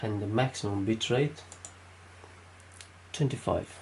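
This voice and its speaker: A man's voice: fairly low pitch, measured pace, vocal fry, darkish tone, slight background noise. A man with a Romanian accent, speaking unscripted with many fillers and restarts while demonstrating something at his desk. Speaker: tech video maker.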